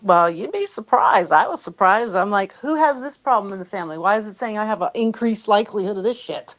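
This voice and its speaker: A woman's voice: mid-range voice, expressive, brisk. A woman talking casually and bluntly as a co-host on a live stream, unfiltered in her way of speaking. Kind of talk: casual chat.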